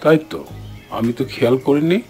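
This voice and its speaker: Man as a sensible, mid-pitched cartoon animal leader. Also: brisk, mellow, faint music behind, natural voice. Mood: questioning. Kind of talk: children's fairy-tale cartoon acting.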